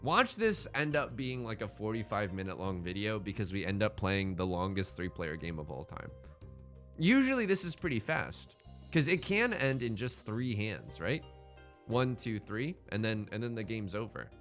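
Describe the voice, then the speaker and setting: A man's voice: lowish voice, big pitch swings, normal speed, a bit bright, faint music behind. A man giving casual, chatty let's play commentary, thinking aloud in slangy terms as he goes.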